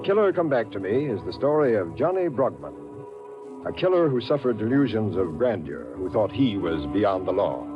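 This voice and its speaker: A man's voice: on the low side, big pitch swings, normal speed, over music. A man introducing programs formally and dramatically as a 1940s radio mystery host. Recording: dull old radio broadcast recording.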